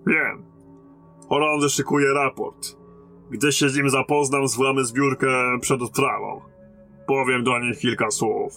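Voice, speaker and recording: An actor playing a battle-hardened lieutenant in command of a besieged stronghold in a fantasy audio drama, with a commanding manner. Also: male voice, mid-range voice, steady tone, creaky, fairly bright tone, soft background music. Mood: firm, businesslike. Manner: commanding, barking orders in battle, measured when talking.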